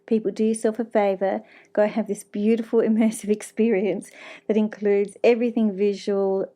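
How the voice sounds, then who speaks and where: A female host with a soft-edged, mellow voice at a medium pitch. She interviews casually, with a gushing and encouraging way of talking. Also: flat delivery, normal speed.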